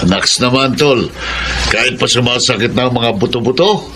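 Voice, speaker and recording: A man's voice: medium pitch, a little slow, close to an everyday voice. A man playing a teasing, good-humored aging bachelor brother in a Filipino radio drama, jocular and exclamatory. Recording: noisy background ambience.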